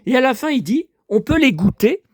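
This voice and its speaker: An older man from France, hoarse and grumbling, who talks half to himself and half to his viewers. His pitch swings widely from low mutters to high indignant outbursts. The sound is dry and close. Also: very animated, a little fast, dry recording. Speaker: vlogger showing flea-market finds. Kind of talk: casual monologue to viewers.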